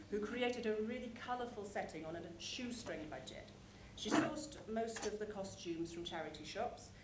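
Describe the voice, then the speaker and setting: Woman giving a talk at a conference, chatty explaining style, echoey room, noisy behind her. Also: mid-range voice. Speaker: conference presenter. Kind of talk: explanatory presentation about a show. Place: conference room, live presentation. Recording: echoey room with clear background noise.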